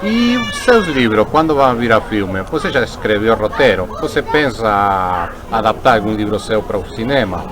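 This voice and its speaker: A man's voice: lowish voice, very animated, normal speed, hardly any pauses. A male interviewer asks short questions and affirms the guest with brief interjections. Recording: outdoor crowd noise.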